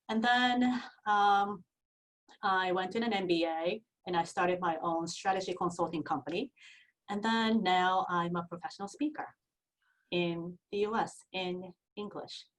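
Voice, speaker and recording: A woman in her forties with a Japanese accent talks as an interview guest, earnest and a bit halting. Her voice is fairly low for a woman. She sounds set back from the microphone. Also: fairly bright tone, far from the mic, poor audio. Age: 40s. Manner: earnest, frequent restarts and fillers. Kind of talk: casual interview.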